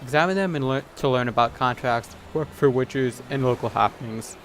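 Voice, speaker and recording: A man's voice: medium pitch, normal speed, a little hiss or hum. A man giving casual commentary as he plays a video game, sometimes reading on-screen text aloud. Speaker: gaming video host. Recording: voice over loud game music. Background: game music and horse sounds.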